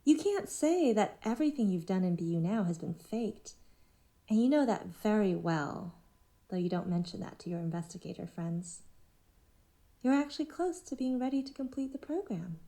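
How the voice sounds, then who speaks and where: A woman acting a manipulative cult leader in an audio drama, speaking in a measured, condescending, therapist-like way. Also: mid-range voice, lively intonation, warm tone, natural voice. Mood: patronising praise. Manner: measured, patronising, therapist-like phrasing.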